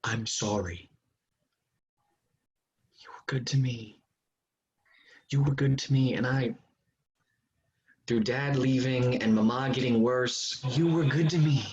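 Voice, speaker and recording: An actor in a staged drama reading, playing an intense, menacing older brother back from war who shifts between pleading and accusing. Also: male voice, mid-range voice, takes it slowly, pauses often, a little mellow, close to an everyday voice. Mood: pleading, tender. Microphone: video call. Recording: muffled, noisy video call audio with clicks.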